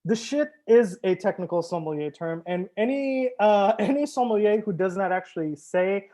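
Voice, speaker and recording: A man's voice: sits high, lively intonation, normal speed, dark tone. A man hosting a live wine tasting as a sommelier, chatting casually with viewers, joking and swearing now and then. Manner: chats with viewers, answers comments. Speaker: sommelier host.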